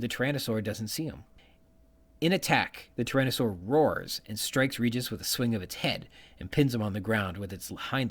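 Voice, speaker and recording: A man hosting a podcast, giving bookish literary commentary from prepared notes and quoting passages as he goes. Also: mid-range voice. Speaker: podcast host.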